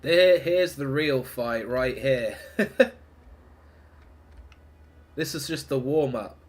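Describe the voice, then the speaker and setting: A man's voice: mid-range voice, expressive, slow. A man, congested from a heavy cold, casually commentating his own gameplay while laughing and muttering to himself. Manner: chatty, laughs often, mutters to himself between battle calls. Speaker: gaming streamer.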